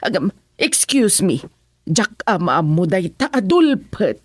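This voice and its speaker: A woman's voice: mid-range voice, big pitch swings, slow, breathy edge, no echo, studio quality, heavily acted. A woman acting a haughty, fussy, dismissive village woman in a radio comedy drama, with an Ilocano accent. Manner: exclaiming, dismissive.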